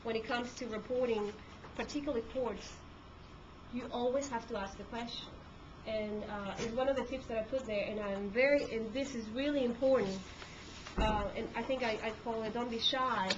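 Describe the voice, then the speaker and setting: A woman's voice: a little high, steady tone, moderate pace. Peruvian-accented woman, lo-fi camcorder sound. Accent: Peruvian Spanish. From Peru. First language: Spanish. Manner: conversational, many fillers and restarts. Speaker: court reporter. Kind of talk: talk to a group. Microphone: handheld camcorder. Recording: dull lo-fi camcorder audio with room noise.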